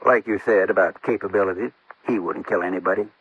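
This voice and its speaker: Folksy small-town constable, old radio sound. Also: male voice, deep voice, fast talker, vocal fry, slight background noise. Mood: matter-of-fact. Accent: rural American. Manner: folksy, drawling, plainspoken. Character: folksy lantern-jawed middle-aged small-town male constable, frank, slow to conclude. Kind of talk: 1950s radio drama acting. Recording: muffled old-time radio recording.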